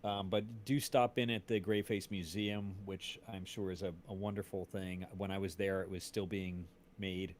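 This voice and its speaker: Lowish-voiced male podcast host, noisy background. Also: flat delivery, moderate pace, few pauses. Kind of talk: casual chat.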